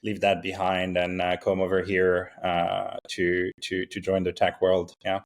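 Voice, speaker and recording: Low-voiced man from Morocco, halting. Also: monotone. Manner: halting, many fillers and restarts. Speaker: startup founder, guest. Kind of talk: casual interview talk.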